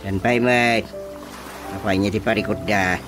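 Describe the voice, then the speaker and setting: A man acting an excitable, exasperated village chairman in an Ilocano radio drama comedy, exclaiming and scolding theatrically with an Ilocano accent. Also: lowish voice, a little slow, music in the background, close to an everyday voice. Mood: puzzled, mocking.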